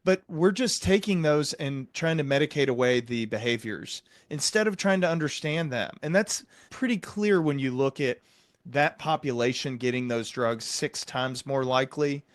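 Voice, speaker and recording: A man hosts a conversational interview in a medium-pitched voice. He explains things reflectively, with a measured, encouraging delivery. The sound is very dry, with hardly any room in it. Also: no echo. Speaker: podcast host interviewing.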